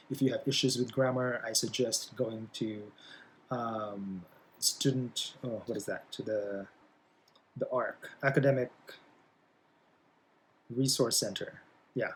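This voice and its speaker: A young man talks through a class introduction at a mid-range pitch. He hesitates often, fills gaps with "um" and keeps checking in with "right?". Faint noise hums behind his voice. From Philippines. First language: Bisaya. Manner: hesitant, many fillers, frequent tag "right?". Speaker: college English instructor. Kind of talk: casual recorded class introduction.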